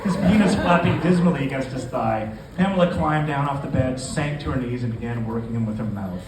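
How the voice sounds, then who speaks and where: A man gives a live reading of his own story as its author. His voice comes from a distance in an echoey indoor room, with clear noise around him. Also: medium pitch, brisk. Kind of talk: live literary reading to an audience. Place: indoor bar event room. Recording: echoey, noisy bar venue, distant microphone.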